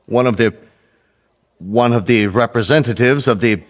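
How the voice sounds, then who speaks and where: An actor playing a flustered senior diplomat in a radio drama, giving formal, halting public addresses. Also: male voice, mid-range voice, natural voice. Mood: uneasy, halting.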